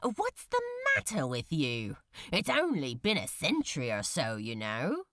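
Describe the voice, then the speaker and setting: A woman's voice: extremely low pitch, wildly swooping pitch, measured pace, a bit bright, no room sound, studio quality, pitched below the performer's own voice, exaggerated voice. A woman voicing a sardonic, breezy male demon with a flippant, teasing drawl. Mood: baffled.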